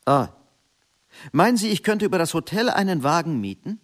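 An actor playing a flustered, self-doubting middle-aged businessman in a radio play, halting and muttering, then brisk and impatient. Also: fairly high pitch, animated, close to an everyday voice.

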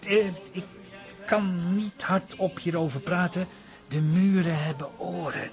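High-voiced scheming Dutch brother-in-law. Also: male voice, a little slow, loud music behind the voice. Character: scheming, excitable brother-in-law in a pub comedy. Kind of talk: radio comedy drama acting.

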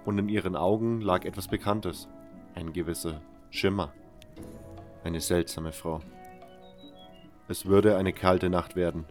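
Fantasy audio drama lead: man playing wary nameless convict narrator, deep register, brooding and measured. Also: steady tone, darkish tone, soft background music. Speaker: first-person narrator.

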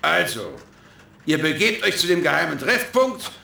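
An actor playing a scheming hacker boss, smug, theatrical and commanding, in a children's radio drama. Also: male voice, a little high, sing-song, moderate pace, creaky, sharp tone, full character voice. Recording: echoey, with faint noise.

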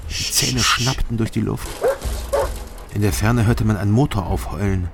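An actor playing a terse, world-weary investigator who narrates a crime radio drama in short, clipped phrases. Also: male voice, lowish voice, normal speed, gravelly, very noisy. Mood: tense, matter-of-fact. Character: terse, world-weary male investigator recounting his case. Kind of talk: scripted first-person crime narration. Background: music.

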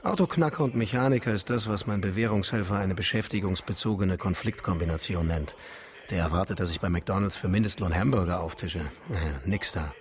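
An actor playing a brash ex-convict gang leader in a German radio drama, terse, cocky and businesslike. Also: male voice, low voice, brisk, slight background noise, lightly acted. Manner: cocky, terse, street-tough.

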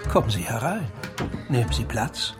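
An actor playing an educated, courteous doctor under suspicion in a radio crime drama, precise and dryly ironic. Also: male voice, medium pitch, sing-song, moderate pace, music in the background, natural voice. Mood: cool, evasive. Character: educated, courteous, wry male doctor, an unemployed suspect.

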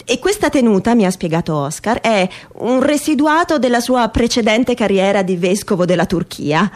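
A woman performing a theatrical reading of a humorous first-person essay in a wry, mock-earnest and expressive manner. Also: a little high, normal speed.

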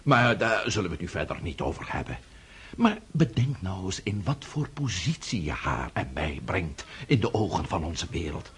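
A man's voice: low-pitched, very animated, slight background noise. A male actor playing an older scheming prince and father in a radio drama, coaxing and flustered, stumbling over repeated words. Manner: halting, repeats words, coaxing with questioning hums.

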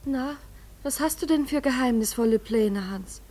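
An actress playing an anxious, soft-hearted wife and mother in a German radio play, fretful and emotional. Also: sits high, moderate pace.

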